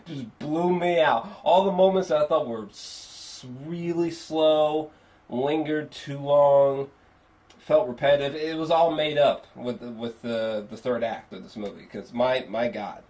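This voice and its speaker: A man giving a casual spoken movie review, swearing freely and repeating phrases for emphasis in an animated, emphatic way. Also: a little high, a little slow. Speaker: film reviewer.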